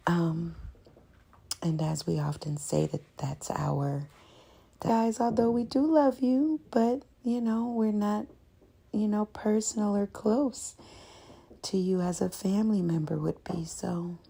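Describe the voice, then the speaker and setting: A woman's voice: medium pitch, slow pace, gravelly, warm tone, slightly rough. A woman giving a casual, chatty and welcoming ASMR voiceover as the host of the channel. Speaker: host and narrator of an ASMR scratch-therapy channel.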